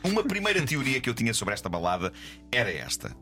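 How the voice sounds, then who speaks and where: A man's voice: mid-range voice, huge pitch swings, quick pace. A man with a European Portuguese accent hosting a radio comedy segment, giving humorous commentary in a mock-serious, wry manner. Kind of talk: humorous semi-scripted commentary over music. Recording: loud music behind the voice. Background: music.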